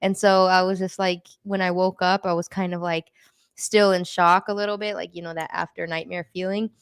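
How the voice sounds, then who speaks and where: A woman with a slightly low, clear and smooth voice talks casually, as if sharing a personal story with a group. Her delivery is informal and conversational, and the sound is close and dry. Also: level tone, normal speed, no echo. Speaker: participant sharing personal experiences in a group discussion.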